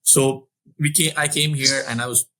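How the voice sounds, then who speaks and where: A man's voice: medium pitch, steady tone, moderate pace, pushed and bright, a little hiss or hum, rough recording. Earnest man telling his career story. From Saudi Arabia. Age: 40s. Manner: frequent restarts and repeated words, earnest. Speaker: finance executive guest. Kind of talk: conversational interview storytelling.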